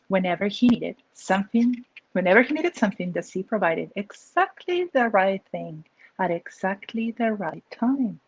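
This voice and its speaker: A woman from Peru with a Peruvian accent, an author reading her picture book aloud with expressive storytelling and asides. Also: medium pitch, normal speed, husky, no room sound, lo-fi sound.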